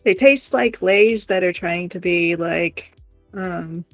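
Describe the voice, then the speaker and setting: A woman's voice: lowish voice, takes it slowly, dry recording, faint music behind. A woman chatting casually and rambling in a giggly way on a livestream while doing crafts. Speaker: live stream co-host.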